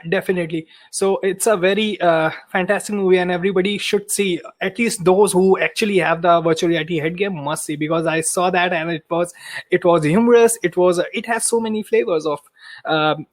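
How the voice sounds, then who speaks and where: A man hosts a podcast interview with a fairly high voice. He hesitates often and fills his pauses with "uh" as he shapes his questions. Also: steady tone. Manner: hesitant, many filler "uh"s. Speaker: podcast host. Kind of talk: interview hosting.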